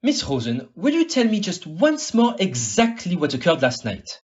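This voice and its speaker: Audio-play detective, male actor: polite, probing, exact, very animated intonation, muffled recording. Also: sits high, soft background music. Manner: formal, polite, deliberately questioning. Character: shrewd, courteous male detective, probing and precise.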